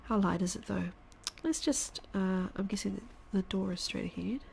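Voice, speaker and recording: A woman in her thirties, a stuffy-nosed streamer chatting casually while she plays, thinking aloud and now and then dropping to a quiet whisper. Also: mid-range voice, normal speed, vocal fry, a little hiss or hum. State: congested, stuffy nose. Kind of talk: casual live-stream chat while gaming.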